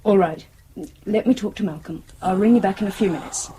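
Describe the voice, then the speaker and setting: An actress playing a concerned grown daughter on the phone in a radio drama, with a gentle, coaxing, halting manner. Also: female voice, medium pitch, slight background noise, close to an everyday voice, low-quality recording. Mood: worried, coaxing.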